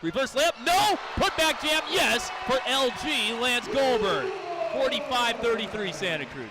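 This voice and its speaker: A man calling live basketball play-by-play for radio in clipped descriptive phrases, quick to get excited. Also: very high-pitched. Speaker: play-by-play announcer. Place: courtside in an arena. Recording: noisy gym with bouncing balls. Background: basketball game arena noise.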